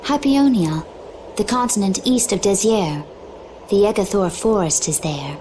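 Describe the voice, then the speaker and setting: A woman playing a composed, mid-pitched adventurer. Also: animated, unhurried, dark tone, slight background noise, lightly acted, rough recording. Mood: calm, practical. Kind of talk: dramatic video game voice acting.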